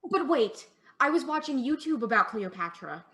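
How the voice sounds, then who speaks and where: A woman's voice: high voice, lively intonation, normal speed, a bit bright. A woman playing a sheltered, eager young daughter in a play performed as a video call, coaxing and insistent in a girlish way. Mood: curious, doubtful.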